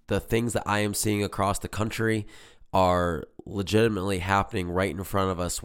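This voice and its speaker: A man hosting a casual solo podcast as a business coach, hoarse from being sick, talking earnestly with lots of fillers. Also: sits low. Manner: rambling, filler-heavy, earnest. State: hoarse, losing voice from illness.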